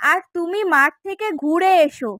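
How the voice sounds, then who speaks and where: A woman's voice: very high-pitched, normal speed, fairly bright tone, dry recording, clean studio sound. A woman voicing a chatty, eager village fishwife with a coaxing, folksy manner in a fairy tale cartoon. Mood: pleased, chatty.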